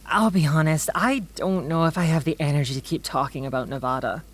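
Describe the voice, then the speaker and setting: A woman's voice: low-pitched, a little fast. A woman playing a stressed but caring partner in naturalistic audio drama dialogue, casual and candid. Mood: stressed, tired.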